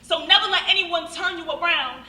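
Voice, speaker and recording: A young American woman performs spoken-word poetry on stage in an earnest, dramatic, rhythmic way with deliberate pauses. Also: very high-pitched, sharp tone. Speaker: monologue performer. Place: stage at a live show. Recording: echoey hall from far off, faint noise.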